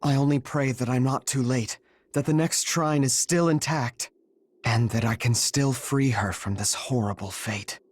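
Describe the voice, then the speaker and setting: A man voicing an earnest, husky young traveler. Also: mid-range voice, unhurried, no echo, natural voice. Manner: earnest, solemn. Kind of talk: video game voice acting.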